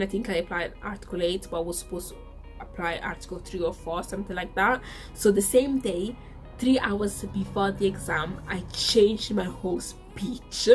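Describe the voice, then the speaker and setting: A woman in her twenties with an Italian accent, whose first language is Italian, telling a casual story to camera in a chatty way that repeats itself. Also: medium pitch. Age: 20s. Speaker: vlogger.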